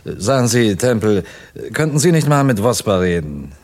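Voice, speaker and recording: An actor playing an indignant, slippery murder suspect in a 1950s German radio crime drama, agitated and complaining. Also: male voice, on the low side, very animated, normal speed, a little mellow.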